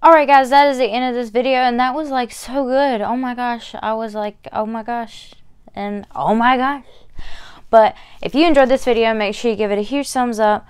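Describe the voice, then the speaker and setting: A woman's voice: on the high side, fairly even intonation, moderate pace, clear, resonant tone. A young adult woman, hosting a reaction video in casual, gushing, informal chat to camera. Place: small bedroom, indoors. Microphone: lapel mic.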